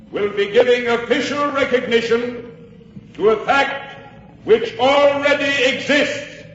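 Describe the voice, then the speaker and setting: A very high-pitched male orator declaims slowly and sternly in a reverberant hall. He leaves weighty pauses in his radio-play speech. The vintage recording is dull. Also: a bit bright, heavily acted. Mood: solemn, insistent. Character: impassioned, stern congressional orator urging independence. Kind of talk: 1940s radio acting of a speech.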